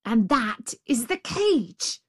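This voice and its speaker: A woman's voice: a little high, big pitch swings, very slow, pitched above the performer's own voice, exaggerated voice. A woman voicing a savage male tiger in a storybook, growling, bullying and domineering. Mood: exasperated rage. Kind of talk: storybook character voice.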